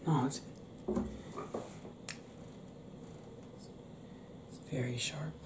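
A young man playing a tender, anxious werewolf boyfriend in an ASMR roleplay, breathy and hesitant, speaking intimately up close. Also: medium pitch, steady tone, brisk, heavy background noise, close to an everyday voice. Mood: uneasy, protective. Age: young adult. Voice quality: breathy, whispery. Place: small indoor room with pale doors. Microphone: close camera mic, within arm's length. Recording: roomy close-up home recording.

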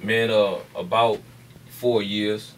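A man's voice: on the low side, steady tone, takes it slowly, a little hiss or hum. A man with a Louisiana Southern accent, chatting in a laid-back, agreeable way as a guest on a radio show. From Baton Rouge, Louisiana. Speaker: rapper, interview guest. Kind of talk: casual interview chat. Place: small radio studio with people around. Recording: distant camera audio in studio.

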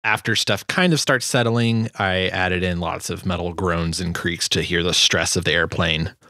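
A man in his twenties talking casually through his sound design work, relaxed and self-deprecating, close to the microphone. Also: low-pitched, normal speed, no room sound. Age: 20s. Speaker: sound designer hosting a tutorial. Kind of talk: casual screen-share walkthrough. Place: small home studio room. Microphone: close boom-arm microphone.

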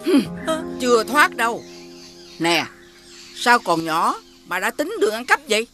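An actress playing a bossy, sly woman food seller in an animated folk tale, with a Southern Vietnamese accent. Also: a little high, measured pace, loud music behind the voice. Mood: angry, suspicious. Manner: scolding, switches to coaxing.

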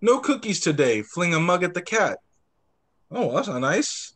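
Animated young man reading to children. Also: sits high, measured pace. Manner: expressive, doing voices for dialogue, with short asides. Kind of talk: animated picture-book read-aloud. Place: indoors, on a video call.

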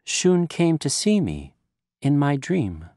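A man reading a story and voicing a grieving mother who speaks softly and haltingly. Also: on the high side, sing-song, no room sound, studio quality, voice pushed up, full character voice. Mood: tearful. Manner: soft, halting speech. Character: tearful grieving mother, gentle.

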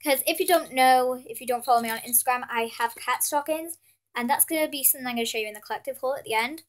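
High-voiced British teen girl with a cold. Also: fast. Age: teens. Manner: rambling, full of fillers and apologies. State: has a cold, sniffly. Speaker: pet channel host. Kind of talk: casual haul vlog. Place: indoors at a table with Christmas decorations. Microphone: camera filming her hands from above.

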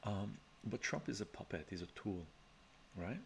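Soft-spoken deep-voiced man on stream. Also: lively intonation, normal speed, gravelly, slight background noise. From Canada, of Armenian descent from Iran. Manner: soft-spoken, chuckling, chatty asides with frequent "right?". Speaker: live-stream host reading chat. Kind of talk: relaxed ASMR live-stream chat. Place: home study lined with bookshelves. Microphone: webcam framing at desk.

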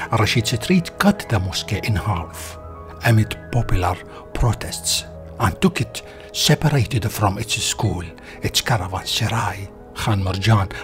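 A man's fairly low voice carries a scripted history documentary. He narrates as if from a prepared text, with music playing faintly underneath. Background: background music.

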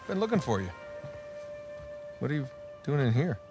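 A man's voice: medium pitch, very animated, normal speed. A man playing an easygoing, wry middle-aged American adventurer in a naturalistic video game cutscene, bantering and sarcastic. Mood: friendly. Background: music.

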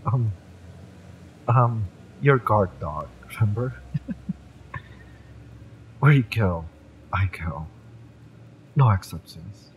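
A man playing a fiery, devoted mercenary in love, tough yet tender, in a romantic audio roleplay, with emphatic, halting delivery. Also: on the low side, expressive, slight background noise, rough recording. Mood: soft, devoted. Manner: impassioned, emphatic, halting delivery with breathy drops.

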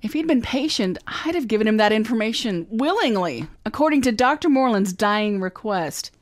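A woman's voice: fairly high pitch, animated, moderate pace, no echo, lightly acted. Woman as wisecracking female private eye, confident, old-time radio drama, dry studio. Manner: breezy, wisecracking narration. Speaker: actor.

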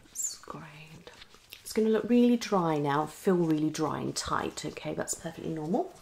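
A British woman in her thirties speaking in a hushed, reassuring ASMR roleplay style as a dermatologist, half whispering in short repeated phrases. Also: low voice, moderate pace, gravelly, slight background noise. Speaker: ASMR creator playing a dermatologist. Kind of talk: ASMR medical roleplay, soft-spoken and whispered. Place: small room at home.

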